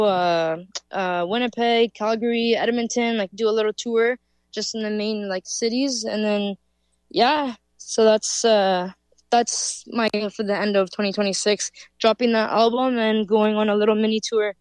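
A woman's voice: medium pitch, fairly even intonation, unhurried, darkish tone, clear, resonant tone, no echo. A woman from Canada, a musician answering interview questions in a loose, casual way full of fillers. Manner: loose, filler-heavy, with frequent "like". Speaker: musician guest.

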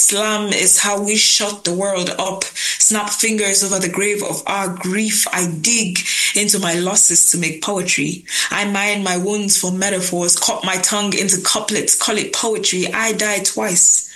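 A woman's voice: medium pitch, bright, forceful tone, poor audio. A young woman who is a slam poet, reciting spoken word with rhythmic emphasis and then talking casually in an interview. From Nigerian family.

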